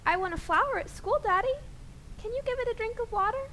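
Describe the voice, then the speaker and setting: Casting: small ailing daughter for radio play, tiny squeaky pitch, swooping pleas, noisy rumbling recording. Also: female voice, expressive, quick pace, fairly bright tone. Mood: pleading, upset. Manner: childlike, pleading. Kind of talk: amateur radio drama acting.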